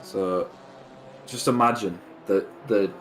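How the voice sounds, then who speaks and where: A man's voice: on the low side, slow. A British man co-commentating on an online race in casual, joking chat, with a stop-start, hesitant way of talking. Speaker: esports livestream co-commentator. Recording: noisy voice chat on race stream.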